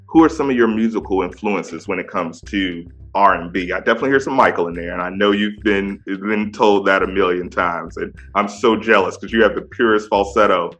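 A man with a very low, deep voice and a bright, forceful tone. He hosts a relaxed music interview and speaks with eager enthusiasm. Faint music plays in the background. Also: a little fast, hardly any pauses. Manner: enthusiastic, effusive praise. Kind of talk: casual interview.

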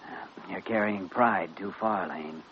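A man acting an earnest, principled young doctor in an old-time radio drama, measured, firm and sincere. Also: low-pitched. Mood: stern, concerned. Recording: muffled, noisy vintage radio recording.

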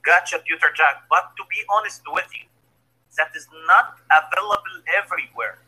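Bright, husky Egyptian man on a phone line. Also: sits high, normal speed. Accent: Egyptian Arabic. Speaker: English learner and lesson leader.

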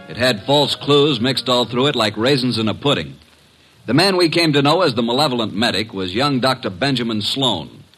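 An actor playing a detective's wry, matter-of-fact assistant in an old-time radio mystery. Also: male voice, mid-range voice, bright, forceful tone, breathy edge, rough recording. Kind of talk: old-time radio drama acting and narration. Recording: vintage 1950s radio recording.

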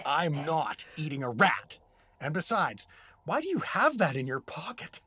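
A man's voice: on the high side, big pitch swings, measured pace, sharp tone, slightly rough, exaggerated voice. An actor playing an indignant, sarcastic everyman from another world who is imprisoned in a comedic fantasy audio drama. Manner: exasperated, complaining, wisecracking.

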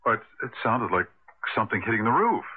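An actor playing a folksy, reassuring American husband in a radio drama, speaking a little hesitantly. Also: male voice, fairly low pitch, sing-song, quick pace, husky. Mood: resigned, puzzled. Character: reassuring husband stranded in a deserted town. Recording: noisy, lo-fi radio broadcast recording.

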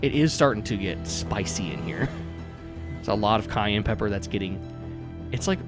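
Low voiced guy game mastering, loose casual narration, music playing behind him. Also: lively intonation, normal speed. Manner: informal, chuckles often.

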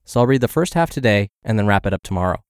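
A man's voice: lowish voice, animated, very fast, clear, resonant tone, dry recording, studio quality. A man hosting a podcast, reading health and fitness blog posts aloud while congested and scratchy from the flu. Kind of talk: read-aloud narration.

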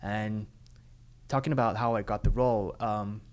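A young man, an actor who grew up speaking Cantonese and English, answering interview questions in an earnest, relaxed way with fillers. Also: lowish voice, normal speed, clean tone.

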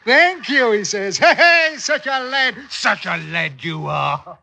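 An actor playing a boisterous, scheming sailor in old-time radio drama, exclaiming theatrically in archaic seafaring dialect. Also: male voice, very high-pitched, animated, takes it slowly, vocal fry, bright, forceful tone, heavily acted. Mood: exultant. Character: boisterous, scheming sailor fawning over a young lad. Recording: old radio recording with noise.

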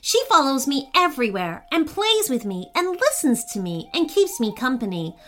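A woman's voice: sits high, very animated, moderate pace, fairly bright tone, slight background noise. A woman voicing a polite, earnest, slightly nervous male dog owner in a children's storybook, eager and friendly. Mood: happy, affectionate.

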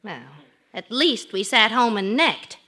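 Radio sitcom wife, American, indignant and peppy, high and very animated. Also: female voice, a little slow, very noisy. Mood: exasperated. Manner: exasperated, indignant. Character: exasperated middle-class wife in her late thirties, peppy and sharp.

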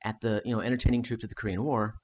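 A man's voice: on the low side, steady tone, very fast. A man telling history stories in a casual, conversational way as a podcast guest. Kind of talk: casual chat, storytelling about history.

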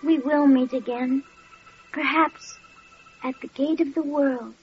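Earnest young boy, high-voiced. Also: fairly even intonation, slow, loud music behind the voice. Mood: quietly certain. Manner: solemn, simple, dutiful delivery. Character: earnest, gentle young boy of humble home. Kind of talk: classic radio drama acting, archaic diction. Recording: dull, noisy old radio recording.

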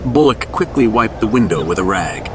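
A man's voice: lowish voice, animated, moderate pace, background noise. A man narrating a scripted superhero story in a suspenseful, dramatic storybook style.

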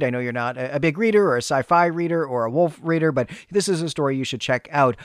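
A man co-hosting a podcast, giving candid, conversational opinions with lively asides. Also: medium pitch, no echo, clean studio sound. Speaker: podcast co-host. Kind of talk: literary discussion podcast.

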